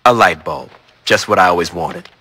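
An actor playing a gruff young gang leader in a video game, curt, dry and sarcastic. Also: male voice, deep voice, animated, normal speed, sharp tone, long reverb tail, a little hiss or hum. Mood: sardonic.

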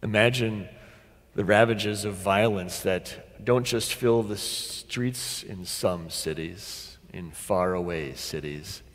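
A middle-aged man preaching a sermon as a pastor, deliberate and dramatic, with pauses and emphatic repetition. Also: on the low side. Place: large church sanctuary, at the pulpit. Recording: long church reverb.

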